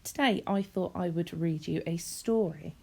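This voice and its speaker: A woman's voice: on the low side, normal speed, creaky, slightly rough. A woman reading a children's story expressively, in the manner of a teacher speaking to young listeners.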